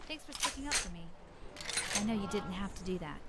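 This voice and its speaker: A woman's voice: mid-range voice, lively intonation, fairly quick, lightly acted. An actress playing a grateful, anxious woman in a video game. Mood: grateful, urgent. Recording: quiet game audio with noise.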